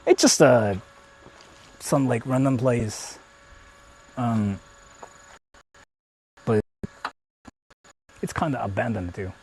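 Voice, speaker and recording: A man's voice: medium pitch, slow, long pauses, darkish tone, slight background noise, rough recording. A young man live-streaming outdoors from his phone, chatting casually with viewers and swearing freely. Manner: chatty, talks to viewers, frequent swearing and fillers. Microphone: phone held while streaming.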